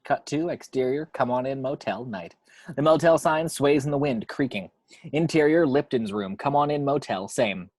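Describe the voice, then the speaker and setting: A man's voice: medium pitch, moderate pace, darkish tone, no room sound. A man narrating stage directions from a script at an amateur table read.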